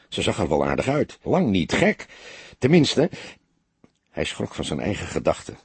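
A man's voice: low voice, a little mellow, slightly rough, no room sound. A man narrating a crime novel as an audiobook, reading scripted prose in a dramatic storytelling style.

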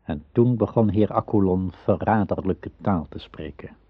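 A man narrating a radio play as a storyteller, in a measured storybook manner. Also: low voice, breathy edge, no room sound. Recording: slightly dull radio play recording.

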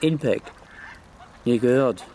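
A man's voice: mid-range voice, slow pace, dark tone, a little hiss or hum, lightly acted. An actor playing a dogged, by-the-book village policeman in a radio drama, speaking formally and insistently. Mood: puzzled. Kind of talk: naturalistic German radio play dialogue.

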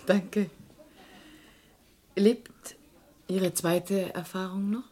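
An actress playing a composed, probing woman journalist in a radio crime drama, speaking in a deliberate, confiding way. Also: medium pitch, fairly even intonation, dark tone. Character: poised, probing Italian woman journalist living in exile.

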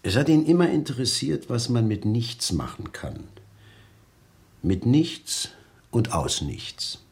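A man's voice: mid-range voice, huge pitch swings, slow, a little mellow, heavily acted. An actor playing an articulate, philosophical man being interviewed in a radio drama, explaining himself in a considered, emphatic way.

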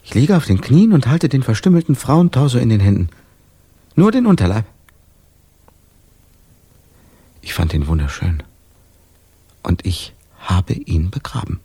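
An actor in a radio drama playing a tormented man with no memory, confessing in a halting, brooding, intense way. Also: mid-range voice, big pitch swings, mellow, husky, full character voice. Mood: intense, obsessive. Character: tormented amnesiac man haunted by gruesome visions.

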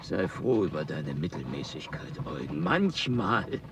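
A man acting a grumpy, arrogant aging mathematician in a radio play, gruff and scornful. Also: low-pitched, big pitch swings, measured pace, recorded from a distance, background noise, exaggerated voice. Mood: musing.

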